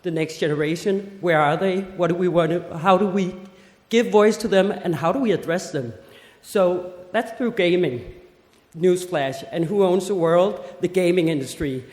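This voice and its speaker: A woman in her forties from Denmark giving a conference talk, lively, with joking asides and rhetorical questions. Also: fairly low pitch, moderate pace. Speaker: festival head giving a seminar talk. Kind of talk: conference presentation. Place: seminar hall. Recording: echoey hall with long reverb.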